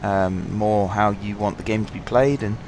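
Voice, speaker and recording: A lower-pitched man with a sore, slightly husky throat talks through his game on a headset mic. He rambles along in a relaxed, apologetic way, full of ums. Faint game sounds and vehicle hum sit behind him. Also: moderate pace. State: sore throat, fighting coughs. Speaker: gaming channel host. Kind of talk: casual let's-play commentary.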